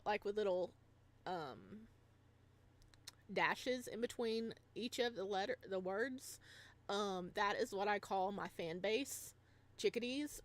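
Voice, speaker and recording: Bubbly woman livestreamer, casual and chatty. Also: medium pitch. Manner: bubbly, giggly, rambling reactions to chat and story. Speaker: livestreamer and host. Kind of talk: casual livestream chat and reading a visual novel aloud.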